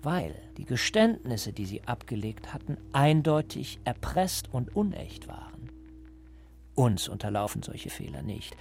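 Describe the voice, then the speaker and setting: An actor playing a coldly patient, menacing party interrogator who lectures deliberately and insistently in a radio drama. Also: male voice, medium pitch, sing-song, darkish tone, soft background music. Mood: cold, lecturing. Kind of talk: German radio drama acting.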